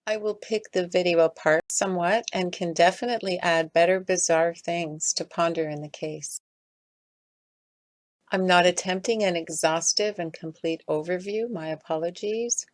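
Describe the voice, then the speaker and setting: A woman's voice: on the low side, steady tone, normal speed. A woman reading a scripted critical commentary as a video essay narrator. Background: music.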